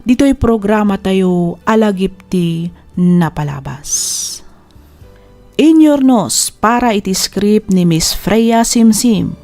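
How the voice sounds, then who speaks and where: Female program host, scripted letter-reading and advice, medium pitch over a music bed. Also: animated, takes it slowly, mellow. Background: music under the voice.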